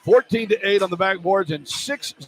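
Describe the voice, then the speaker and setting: A man with a somewhat high voice calls basketball play-by-play for radio. The feed is noisy, coming live from inside an arena. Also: normal speed. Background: clear arena noise.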